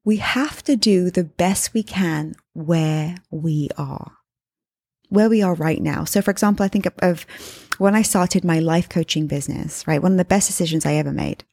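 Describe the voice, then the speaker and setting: A woman in her thirties speaks with a hybrid English and Australian accent and a fairly low voice. She tells a story in a chatty way and calls her listeners friends. The recording is dry and close, with no room sound. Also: normal speed, gravelly, darkish tone, no echo. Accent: English and Australian mix. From England. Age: 30s. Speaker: podcast host and life coach. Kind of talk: casual storytelling monologue.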